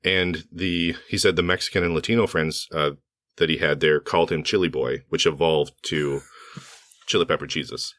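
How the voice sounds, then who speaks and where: A very low-pitched man talking on a podcast, his voice a little hoarse. He rambles casually with fillers and restarts. The sound is dry and close to the mic. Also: steady tone, normal speed, fairly bright tone, no room sound. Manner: rambling, with frequent fillers and self-corrections. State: slightly hoarse from allergies. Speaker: podcast co-host.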